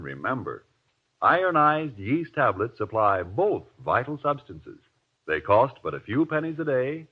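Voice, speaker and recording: Male old-time radio announcer, lowish voice, very lively intonation, polished, emphatic, tinny narrow recording. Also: breathy edge. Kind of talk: 1940s radio announcing and sponsor pitch.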